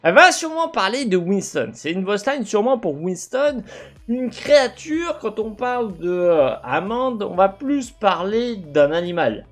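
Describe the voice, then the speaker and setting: A young man giving casual, speculative commentary as a gaming video host, halting at times and asking himself questions. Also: high voice, sing-song. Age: young adult. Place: indoor gaming setup.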